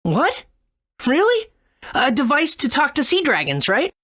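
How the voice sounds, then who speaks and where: High, eager adventurer boy. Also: wildly swooping pitch, unhurried, fairly bright tone, heavily acted. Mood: curious. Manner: earnest, agreeable. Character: eager, good-natured young adventurer boy. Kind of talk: video game voice acting.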